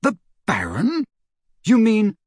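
A man's voice: fairly high pitch, very animated, a little slow, husky, dry recording, studio quality, full character voice. A man voicing a determined, hot-headed teenage boy in an audiobook, with an insistent, fierce manner. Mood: eager questioning.

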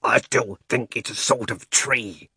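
A man's voice: mid-range voice, a little slow, bright, forceful tone, breathy edge, dry recording, clean studio sound, heavily acted. A man voicing a stubborn, dismissive warthog in a storybook, with a matter-of-fact, dogged manner.

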